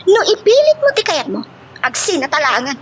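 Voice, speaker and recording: Casting: actress for emotional Ilocano-accented mother in family drama, higher register, very animated delivery. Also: fairly quick, exaggerated voice. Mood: pleading, agitated. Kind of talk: radio-style Ilocano family love drama acting. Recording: clear background noise.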